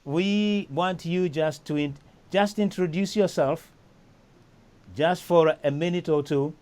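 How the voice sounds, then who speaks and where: A man's voice: on the high side, slow, darkish tone. A man hosting a casual music interview, talking with enthusiasm and often repeating himself. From East Africa. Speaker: host. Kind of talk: casual chat.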